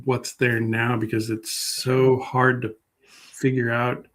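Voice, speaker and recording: A man speaks as a guest in a casual interview. His voice is of average pitch. He is unassuming and halting, working out his thoughts as he goes. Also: level tone, a bit bright. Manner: halting and unassuming, thinking aloud. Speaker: guest public historian.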